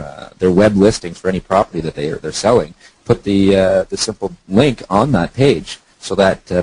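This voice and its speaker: A man's voice: low-pitched, darkish tone, breathy edge, dry recording, rough recording. A man speaking as an online marketer and co-founder on a phone conference call, informal and explanatory.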